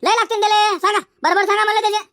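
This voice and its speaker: Squeaky cartoon bazaar haggler, folksy and insistent, very high and bright, dry close sound. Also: fairly even intonation, very fast, no echo. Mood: firm haggling. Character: haggling, bantering cartoon chilli-market traders. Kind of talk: comedic cartoon dialogue in colloquial rural Marathi.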